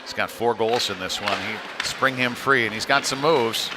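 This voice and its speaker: Man calling hockey over clear rink noise. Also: medium pitch, normal speed. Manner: casual, conversational, hesitant phrasing. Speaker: hockey play-by-play commentator. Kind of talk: live amateur sports commentary. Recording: noisy live arena sound.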